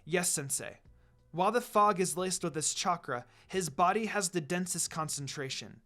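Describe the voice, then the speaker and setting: An earnest young kunoichi, read by a man speaks politely and with quiet determination. It is a dutiful story character, with music underneath. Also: high-pitched, normal speed. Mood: focused, dutiful.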